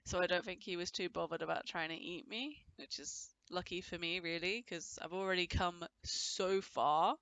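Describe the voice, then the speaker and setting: Lady with a cold talking over her gameplay, lowish voice, kinda rambly. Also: moderate pace, hardly any pauses, a bit bright, no echo. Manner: chatty, rambling, with frequent restarts. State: has a cold, voice a bit off. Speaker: gameplay commentator. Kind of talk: casual let's-play commentary.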